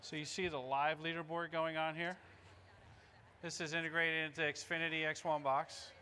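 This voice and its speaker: A man's voice: a little high, level tone, moderate pace, sharp tone, a little hiss or hum. A man in his fifties giving an informal live product demo on stage, talking to the audience in short phrases. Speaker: presenter pitching a product demo. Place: stage in a large indoor conference hall.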